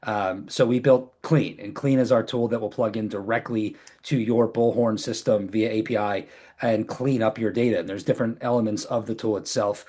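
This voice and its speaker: A man presenting in a business webinar in a conversational product-pitch style. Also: fairly even intonation, moderate pace, a little mellow. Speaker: webinar presenter, company founder.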